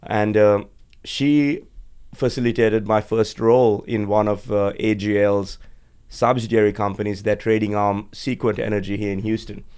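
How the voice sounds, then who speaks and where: Low, even-toned man telling his story. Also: smooth. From Sri Lanka. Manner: measured, steady storytelling with frequent uh and um fillers. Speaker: guest, energy executive. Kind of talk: conversational interview. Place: podcast studio.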